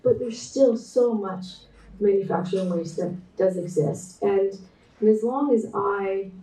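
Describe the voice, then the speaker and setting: Mellow-voiced Canadian woman giving a keynote. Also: medium pitch. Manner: reflective, measured delivery with frequent restarts. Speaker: keynote speaker, textile designer. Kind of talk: conference keynote presentation. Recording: roomy echo, voice distant from mic.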